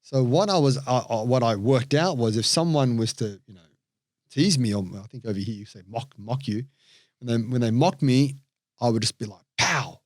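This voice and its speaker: A man with an Australian accent tells his stories with energy as a podcast guest, slipping into the voices of the people he quotes. His pitch sits in the middle range. The sound is dry and close to the mic. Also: normal speed, no echo. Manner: animated storyteller, acts out quoted voices. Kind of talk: storytelling interview chat.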